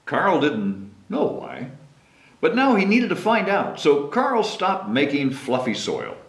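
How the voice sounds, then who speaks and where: Animated older man reading, roomy sound. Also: on the high side, slow pace, creaky. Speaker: mayor reading at a library children's story time. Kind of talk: expressive picture-book read-aloud for children. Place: small meeting room with whiteboard, at a table. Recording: roomy, echoing indoor sound.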